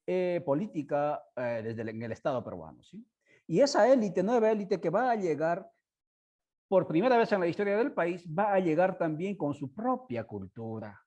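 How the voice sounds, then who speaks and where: A man who speaks Spanish as a second language, hosting an online discussion in a halting, informal way, with many fillers and tag questions. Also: a little high, a little mellow. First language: not Spanish. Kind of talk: informal spoken commentary to an online audience. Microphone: headset on a video call.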